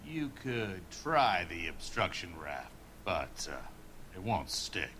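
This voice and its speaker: Slick game gangster, lowish, forceful, insinuating, cocky, noisy background. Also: male voice, very animated, slow pace, exaggerated voice. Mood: cool, cocky. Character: cocky, slick mobster henchman. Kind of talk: dramatic video game acting.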